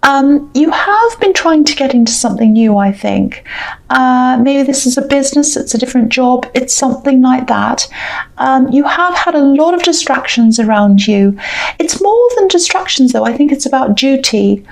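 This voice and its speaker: A woman giving a tarot reading to the viewer, talking in a soft, reassuring way with many hesitant pauses. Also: high voice, animated, creaky. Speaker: tarot reader. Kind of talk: intuitive tarot reading to camera.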